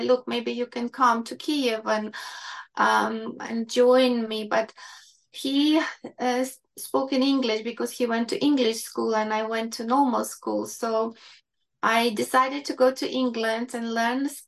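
A woman's voice: a little high, creaky. A woman in her fifties from Ukraine with a Ukrainian accent, a doctor telling her life story in an interview with many fillers and restarts. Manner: hesitant, many fillers and restarts, non-native grammar. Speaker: guest doctor.

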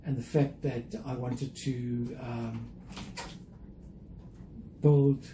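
An older man with a South African accent, talking reflectively and carefully as an interview guest, with measured, hesitant phrasing. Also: mid-range voice, little pitch movement. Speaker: interview guest, museum chief executive. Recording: roomy echo, faint background noise.

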